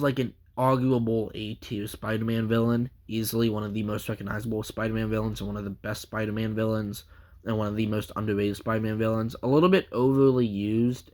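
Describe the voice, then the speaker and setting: A man with a stuffy nose from a cold, giving a casual, rambling comic book review full of fillers and restarts. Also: mid-range voice.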